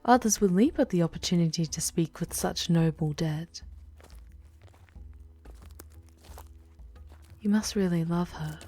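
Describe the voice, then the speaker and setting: A woman playing a charming demon queen who guides a traveller through hell in an ASMR roleplay, speaking in a formal, theatrical, deliberate way with breathy near-whispers. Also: lowish voice, mellow, soft background music, close to an everyday voice. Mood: teasing, measured. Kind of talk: ASMR roleplay, dramatic monologue addressed to the listener.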